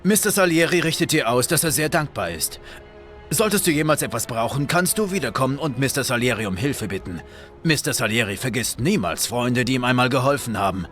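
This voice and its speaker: A male actor plays a polite gangster in a video game, controlled and businesslike with quiet menace. His pitch is in the middle of the male range. Music runs behind the speech. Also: lively intonation, brisk, close to an everyday voice. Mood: calm, courteous. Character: calm, courteous, quietly menacing male mobster.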